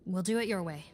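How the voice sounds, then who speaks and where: A woman's voice: on the low side, darkish tone, lightly acted. Formal, skeptical female investigator, played by a woman. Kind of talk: video game voice acting.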